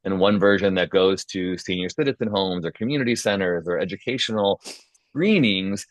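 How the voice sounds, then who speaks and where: A man's voice: deep voice, normal speed, a little hiss or hum. A man hosting a casual script feedback session, speaking in an encouraging, informal way over a video call. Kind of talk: casual facilitation and critique. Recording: echoey, dull video-call audio.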